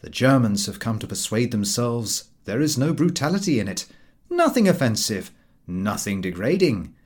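A man reading a humorous literary essay aloud as an audiobook narrator, in a measured, wry and expressive way. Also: mid-range voice, breathy edge.

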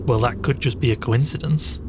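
A man's voice: medium pitch, fairly quick, natural voice. Man acting as sceptical male interviewer, curious, questioning, audio drama, mid-range voice, noticeably noisy recording. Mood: curious, probing. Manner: questioning, incredulous.

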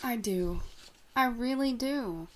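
An actress playing a devoted but secretive young fiancée, speaking gently and evasively. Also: female voice, a little high, slow. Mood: hesitant. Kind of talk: audio drama acting.

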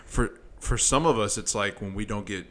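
Chill twenty-something guy with a lowish voice, rambly podcast chat full of "like", a little hiss behind. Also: moderate pace. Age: 20s. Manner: laid-back storytelling, laughs often, heavy on filler likes. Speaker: podcast co-host. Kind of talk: casual chat.

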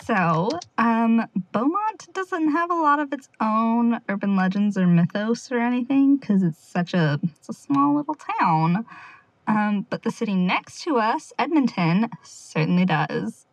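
A woman playing a wry young amateur investigator in an audio drama, quietly talking through her notes in a rambling, sardonic, confiding way. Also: fairly high pitch, lively intonation, unhurried, no echo, rough recording. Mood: hushed, wry. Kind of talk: audio drama monologue, casual confiding log.

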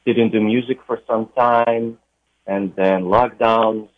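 A young man with a Ukrainian accent talks at an easy pace, filling his pauses with "uh". His voice sits a little low. It comes through a narrow, tinny phone-like line. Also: fairly even intonation, no echo. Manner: unhurried, with frequent uh pauses. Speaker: DJ and radio guest. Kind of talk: interview conversation.

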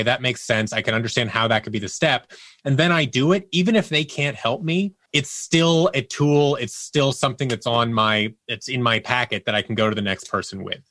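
A man with a mid-pitched voice talks casually as a guest in an interview. He tells anecdotes with emphasis, slipping into quoted dialogue as he goes. The sound is dry and close. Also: a bit bright, dry recording. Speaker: podcast guest, audio producer.

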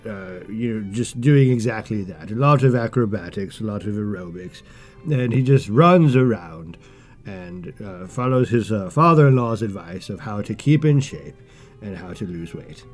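Slow, pompous British gentleman, put on by a man. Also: on the low side. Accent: British English. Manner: pompous, halting, trailing off into mumbles. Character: pompous self-important British royal ex-broadcaster reviewing films. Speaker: podcast host. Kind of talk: comedic rambling movie review monologue.

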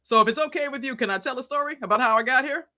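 Lively woman guest from Boston, chatty storyteller, high, sharp voice. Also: racing. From Boston, USA. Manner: playful, chatty, animated storyteller. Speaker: guest expert. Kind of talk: casual storytelling interview.

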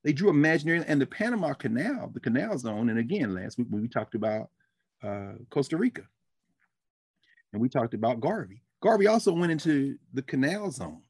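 Animated man with a husky voice. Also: a little fast, no room sound, clean studio sound. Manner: animated storyteller, laughs easily, ranges from loud bursts to low asides. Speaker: podcast co-host. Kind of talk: casual conversational discussion.

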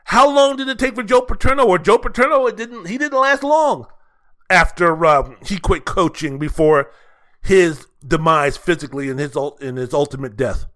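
A male sports podcaster with a notably high, sharp-edged voice. He delivers opinionated solo commentary, leaning on rhetorical questions and repetition. His delivery is emphatic and theatrical. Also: expressive, moderate pace.

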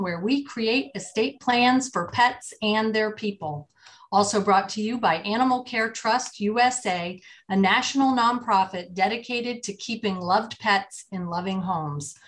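A woman's voice: mid-range voice, fairly bright tone. A woman hosting an interview podcast, reading a sponsor intro and then chatting with her guest with some fillers. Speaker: host.

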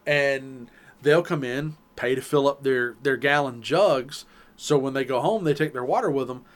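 A man with a Southern US accent co-hosting a podcast. He rambles through long explanations, restarting his sentences. His pitch sits in the middle. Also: moderate pace. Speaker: podcast co-host. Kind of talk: casual chat.

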